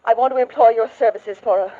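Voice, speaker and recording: Actress as worried, genteel mother, bright voice. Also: fairly high pitch, steady tone, fast talker, close to an everyday voice. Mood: anxious, polite. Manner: earnest, refined, hesitant. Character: worried, genteel middle-aged mother hiring a detective. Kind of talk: 1940s radio drama acting. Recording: dull old radio recording.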